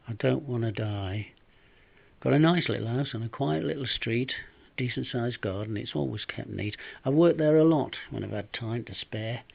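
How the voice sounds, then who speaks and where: A humble, wistful old soul who once slept rough tells his tale in rhyme as an elderly man acts it out. His voice is gravelly and creaky, plaintive and tender, measured out with dramatic pauses. The home recording sounds dull and lo-fi. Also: medium pitch, exaggerated voice. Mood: contented, wistful. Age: 70s or older. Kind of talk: dramatic recitation of a rhyming monologue.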